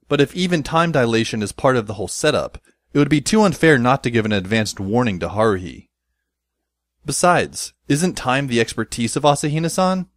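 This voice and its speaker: A man's voice: medium pitch, dry recording, lightly acted. A man playing a wry, long-suffering teenage schoolboy who narrates an audio drama in a dry, literary, sardonic way. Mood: sceptical, probing.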